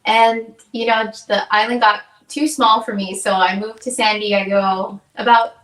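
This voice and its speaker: A woman's voice: mid-range voice, little pitch movement, a little slow, fairly bright tone, recorded from a distance. A chatty young woman from Hawaii talks as a guest singer-songwriter and acts out the things people said to her. She sits back from the mic, and her voice rings around an echoey room. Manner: upbeat, chatty, acts out quoted exchanges. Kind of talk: casual interview chat.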